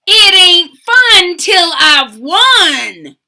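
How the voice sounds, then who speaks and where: Woman doing a loud, boastful pug. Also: extremely high pitch, big pitch swings, very slow, voice pushed up, heavily acted. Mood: stubborn. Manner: loud, gloating, shouting. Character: boastful, bratty male pug who must win.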